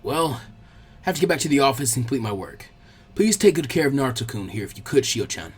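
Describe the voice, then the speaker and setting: A man's voice: mid-range voice, very animated, quick pace, husky. Elderly male village leader, voiced by a man, genial, crafty, formal, for audiobook fantasy. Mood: courteous. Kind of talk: fan fiction audiobook character voice.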